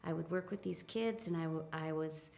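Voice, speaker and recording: A woman in her fifties giving a reflective personal account, speaking hesitantly with soft pauses and restarts. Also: low voice, vocal fry. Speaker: interviewee, spiritual practitioner.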